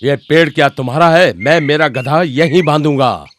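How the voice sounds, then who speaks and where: A man playing a stubborn, argumentative donkey owner in a children's animated story. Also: a little high, moderate pace, slight background noise, natural voice. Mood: indignant.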